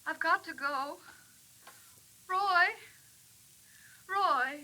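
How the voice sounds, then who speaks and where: A young woman's ghost with a high, bright voice, eerie and dazed. She drifts slowly through her words with yearning, in an old 1930s radio play recording. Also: heavily acted, rough recording. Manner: slow, eerie, repetitive calling. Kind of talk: 1930s radio drama acting.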